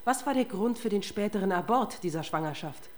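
A woman's voice: mid-range voice, expressive, brisk, lightly acted. An actress playing a tough, relentless female police detective in a German radio crime drama, confrontational and sardonic. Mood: pressing.

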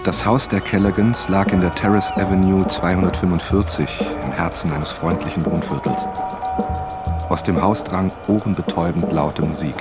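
A man's voice: low-pitched, fairly even intonation, moderate pace, lightly acted. An actor playing a determined novelist who narrates his own investigation in a scripted radio play. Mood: reflective, matter-of-fact. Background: music.

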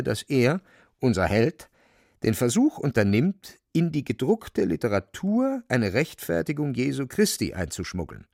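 A man a wry storyteller in a radio drama. He narrates emphatically with very lively intonation and a creaky edge to his medium-pitched voice. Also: dry recording, clean studio sound, full character voice. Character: animated storyteller recounting a hero's misfortunes.

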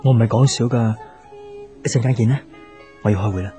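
An actor playing a lovesick, hard-working young office boss in a radio drama, earnest and understated. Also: male voice, mid-range voice, brisk, dark tone, soft background music, natural voice. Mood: eager. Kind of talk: Cantonese radio drama acting.

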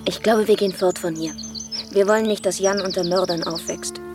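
A woman's voice: medium pitch, fairly even intonation, a little fast, music in the background, natural voice. An actress playing a grieving, disillusioned mother and rural outsider in a radio drama, reflective and confiding. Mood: sad, resigned. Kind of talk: German radio drama acting.

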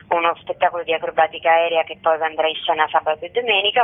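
A woman, speaking informally as a festival curator in a radio interview. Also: fairly low pitch. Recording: tinny narrow-band phone line.

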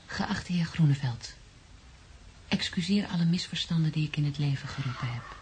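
An actress playing a calm, reassuring young wife in a Dutch radio drama, speaking softly. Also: female voice, sits low, moderate pace, slight background noise, lightly acted. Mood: reading aloud. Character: Simone, calm soothing pregnant young wife.

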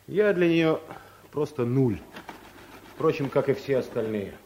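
An actor playing a timid, shy office clerk who talks mildly and runs himself down, in a 1970s radio comedy. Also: male voice, mid-range voice, animated, measured pace, a little mellow, slight background noise, lightly acted. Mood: meek. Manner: timid, mild, self-deprecating. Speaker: statistician.

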